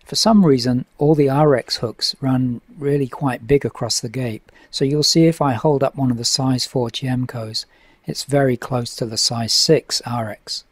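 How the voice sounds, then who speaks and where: A man's voice: mid-range voice, moderate pace, no echo. Middle-aged male fly-tying instructor, methodical and easygoing, dry close-mic sound. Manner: relaxed, methodical, with frequent uh fillers. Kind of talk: instructional voice-over. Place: home tying desk.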